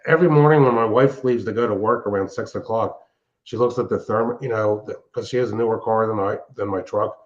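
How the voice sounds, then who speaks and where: A man's voice: fairly low pitch, brisk. An older man chatting casually and technically, explaining things in a rambling way as a show host and hobbyist. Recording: dull, band-limited sound.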